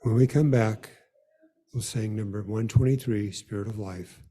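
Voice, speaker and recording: A man leading a worship service in an informal, unhurried, gently guiding way. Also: lowish voice, fairly even intonation. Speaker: worship leader.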